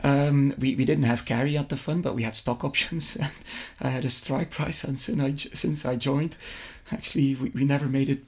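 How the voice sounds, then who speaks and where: A middle-aged man from Flemish-speaking Belgium, a native Dutch speaker with a Flemish accent, answering interview questions conversationally and somewhat haltingly. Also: medium pitch, steady tone, breathy edge. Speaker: interview guest, investment fund executive.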